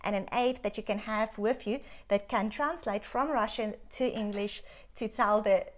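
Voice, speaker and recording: A woman's voice: medium pitch, moderate pace. A middle-aged woman with a South African accent, a doctor telling stories with enthusiasm as an interview guest. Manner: animated, storytelling with enthusiasm. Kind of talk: conversational interview.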